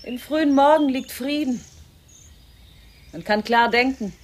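An actress playing a self-assured, patronizing zoo director in a German radio drama, declamatory and condescending. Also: female voice, high voice, unhurried. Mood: exalted, proud. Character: Andrea Burden, grandly self-assured, patronizing woman running a zoo project.

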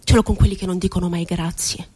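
An actress performing a theatrical monologue as an impassioned, rebellious, poetic woman, declaiming with deliberate, emphatic phrasing. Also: on the low side, fairly even intonation, mellow, breathy edge. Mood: bitter, indignant. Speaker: stage actress.

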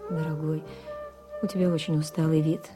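An actress playing a caring, solicitous wife in a radio play, with a tender, concerned manner. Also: female voice, sits low, flat delivery, moderate pace, dark tone, soft background music, natural voice.

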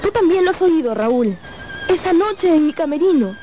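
An actress playing a tender, dreamy young opera singer in a radio drama, earnest and wistful. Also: female voice, very high voice, lively intonation, normal speed, mellow, a little hiss or hum.

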